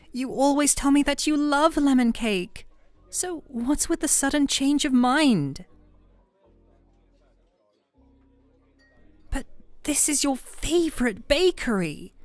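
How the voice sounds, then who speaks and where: A woman's voice: high-pitched, no room sound, exaggerated voice. A woman playing a playful, affectionate young best friend in an ASMR roleplay, chatting casually and teasing her listener. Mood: playful indignation. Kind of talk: ASMR roleplay audio, conversational acting. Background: soft background music.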